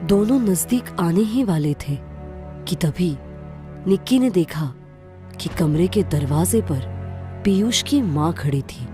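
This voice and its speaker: A woman narrating a story in a measured, unhurried way. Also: on the low side, expressive, music in the background.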